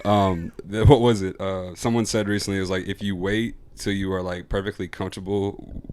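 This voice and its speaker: Young male guest, deep, low-key, mumbly chat. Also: flat delivery, brisk, darkish tone, a little hiss or hum. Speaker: podcast guest, musician. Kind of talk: casual chat.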